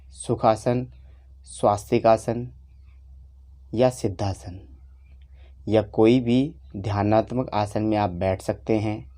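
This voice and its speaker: Man, meditation teacher, very slow pace, soft dark tone, short instructive phrases, long pauses. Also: on the low side.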